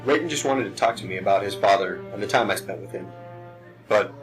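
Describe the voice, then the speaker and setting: A man's voice: on the low side, a little fast, faint music behind, natural voice, rough recording. A man playing a troubled, reticent starship captain in a sci-fi audio drama. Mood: downcast.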